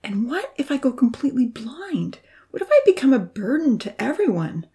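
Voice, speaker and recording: A woman voicing a troubled, scarred young man in a story, speaking haltingly with a wavering voice. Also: on the high side, expressive, warm tone, voice pushed up, close to an everyday voice. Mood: bitter, tearful. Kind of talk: storybook character reading.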